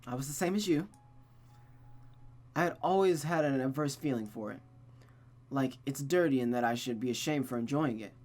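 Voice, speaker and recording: A woman voicing a gentle female friend in a visual novel, speaking tenderly and frankly in a measured way. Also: a bass voice, faint music behind.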